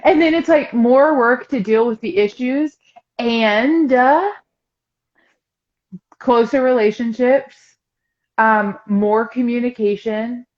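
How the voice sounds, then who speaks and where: A woman in casual, reflective conversation on a podcast, halting as she searches for her words. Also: fairly high pitch, a little mellow, dull audio.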